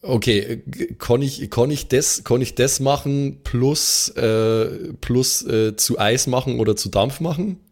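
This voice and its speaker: A man's voice: medium pitch, unhurried, hardly any pauses, darkish tone. A man chatting casually as a tabletop player, informal and slangy, often repeating his phrases. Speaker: tabletop role-playing player. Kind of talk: casual chat.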